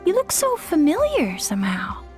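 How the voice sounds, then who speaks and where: A woman playing an eager, insecure young female warrior in a video game, speaking in an earnest, excitable way. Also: very high voice, moderate pace, soft background music, full character voice. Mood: unsure, self-doubting.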